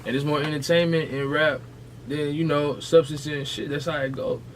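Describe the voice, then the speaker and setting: A man's voice: on the high side, level tone, normal speed, a little hiss or hum. A man co-hosting a podcast, chatting and debating casually in blunt, slangy speech. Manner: slangy, blunt, pushing back.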